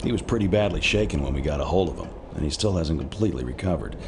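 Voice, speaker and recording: A man's voice: rumbling low, expressive, fast talker, noisy background. A male actor playing an earnest, dutiful military intelligence aide in a video game, speaking formally and precisely. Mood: sober reporting. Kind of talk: video game briefing acting.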